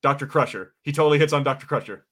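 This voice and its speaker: A man's voice: fairly high pitch, rapid-fire, a bit bright. A man co-hosting a podcast with casual, opinionated banter, speaking bluntly and informally. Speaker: podcast co-host.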